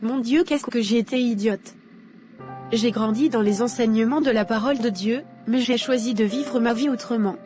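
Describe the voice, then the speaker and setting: A woman playing a frightened young woman held captive in an animated drama, pleading desperately. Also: a little high, moderate pace, soft background music, close to an everyday voice.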